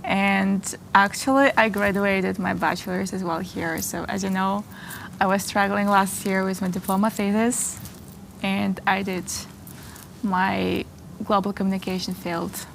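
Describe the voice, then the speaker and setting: Gravelly-voiced young woman from Kazakhstan. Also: mid-range voice, fairly even intonation, a bit bright, slight background noise, low-quality recording. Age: 20s. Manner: measured, slightly formal, hedges with "I would say". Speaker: interview guest, master's student. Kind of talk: studio interview answers. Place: small studio. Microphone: lapel.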